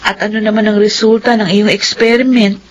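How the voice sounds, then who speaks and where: An actress playing a worried, scolding confidante in a Filipino radio drama. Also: female voice, mid-range voice, little pitch movement, fairly quick, close to an everyday voice. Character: scolding, worried confidante of a devout young woman.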